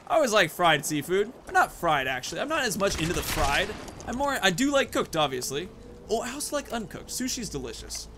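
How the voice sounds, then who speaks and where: A man's voice: high-pitched, sing-song, fairly quick, background noise. A man giving casual let's play commentary as a gaming host, rambling and thinking aloud.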